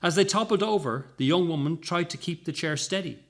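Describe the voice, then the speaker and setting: A man's voice: fairly high pitch, brisk. A man narrating a scripted short story as its reader.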